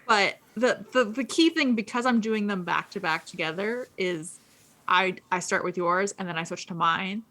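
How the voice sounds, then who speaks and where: A woman co-hosting a casual podcast, chatting quickly with lots of fillers and restarts. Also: mid-range voice, pushed and bright. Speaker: co-host. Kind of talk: casual gaming podcast chat.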